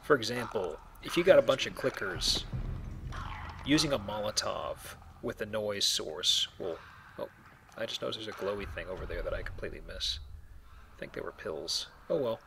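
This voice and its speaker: A man's voice: on the low side, expressive, moderate pace, vocal fry, noisy background. A man giving casual, rambling, explanatory commentary while playing a video game. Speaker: let's play host, playing the game.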